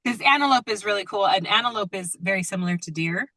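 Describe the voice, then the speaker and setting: A woman's voice: mid-range voice, lively intonation, fast talker, gravelly, pushed and bright, rough recording. A woman teaching and leading a group call in a casual, chatty, conversational way.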